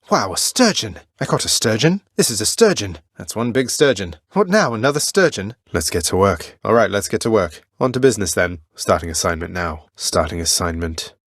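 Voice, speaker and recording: A cocky young prince of the underworld from a video game, speaking in a low register. He is rebellious and wry, tossing out lines with dry nonchalance. The recording is close and dry, with no room sound. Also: a bit bright, dry recording. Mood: bemused, then determined.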